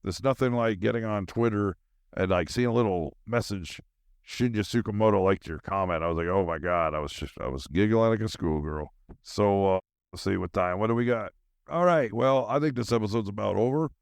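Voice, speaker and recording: Low, clear-voiced man rambling casually. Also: brisk, no echo, studio quality. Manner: goofy, silly asides and catchphrases. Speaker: solo podcast host.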